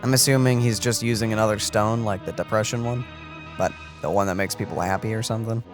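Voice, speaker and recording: A man's voice: fairly low pitch, steady tone, fast, music in the background. A man doing casual let's play commentary, informally speculating and reacting aloud to a game. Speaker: gaming let's player.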